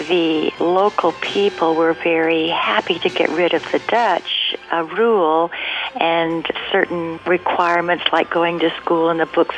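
A woman with a lowish voice answers as a radio interview guest. She explains in a measured, unscripted way, pausing mid-sentence, with faint music behind her. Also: highs cut off. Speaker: interview guest, radio drama writer and director.

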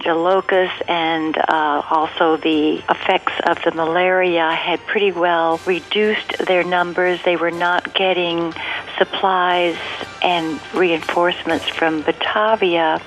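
A woman giving unscripted, explanatory interview answers as a guest, pausing mid-sentence as she thinks. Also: fairly low pitch, fairly bright tone, rough recording. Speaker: interview guest, radio drama writer and director.

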